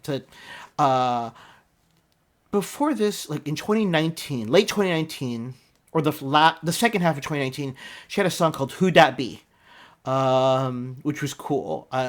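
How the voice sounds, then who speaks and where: A man hosting a music video reaction, talking casually with lots of ums, restarts and trailing asides. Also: medium pitch. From United States. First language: English. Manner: rambling, many ums and restarts.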